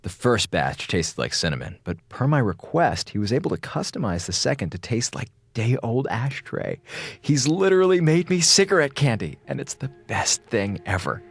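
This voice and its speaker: An actor playing a chatty, sarcastic space station communications officer with an American accent, logging theatrically in a radio drama. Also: male voice, mid-range voice, very animated, moderate pace, creaky, breathy edge, dry recording, exaggerated voice. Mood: gleeful. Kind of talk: radio drama audio log monologue. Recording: music behind the voice.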